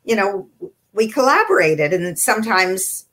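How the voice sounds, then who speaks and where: An older Canadian woman, a children's entertainer, recounting her career in a measured, reflective way. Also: mid-range voice, big pitch swings, a bit bright. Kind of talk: interview storytelling.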